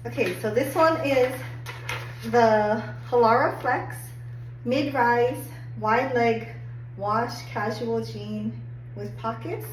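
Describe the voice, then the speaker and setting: A woman getting over a cold and a little hoarse, chatting upbeat through a clothing try-on review with lots of "like"s. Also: a little high, slow. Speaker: fashion haul vlogger. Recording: echoey room, distant mic, faint hum.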